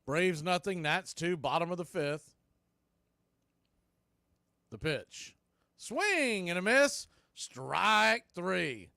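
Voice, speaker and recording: A man's voice: high-pitched, expressive, drawn-out words, creaky, long pauses, sharp tone, no room sound, studio quality. A man doing live radio baseball play-by-play, with punchy clipped calls and conversational asides.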